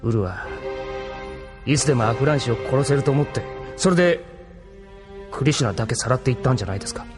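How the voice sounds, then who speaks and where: A man's voice: mid-range voice, animated, fast, long pauses, over music, close to an everyday voice. An actor playing a level-headed, frank male mechanic crewman in an anime audio drama, talking casually. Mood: earnest.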